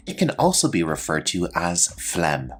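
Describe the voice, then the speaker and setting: A man presenting a scripted English vocabulary lesson, explaining terms in a clear, instructional manner. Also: sits low, wildly swooping pitch, moderate pace. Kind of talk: scripted educational narration with example sentences.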